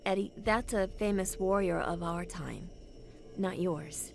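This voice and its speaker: A woman's voice: lowish voice, unhurried, vocal fry, faint music behind. A woman playing a serious young rebel in a fantasy video game cutscene. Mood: grave.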